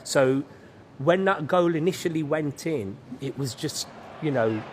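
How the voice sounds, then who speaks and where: A man's voice: fairly high pitch, animated, a little slow. A man, a football fan, reflecting in a documentary interview. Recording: clear background noise.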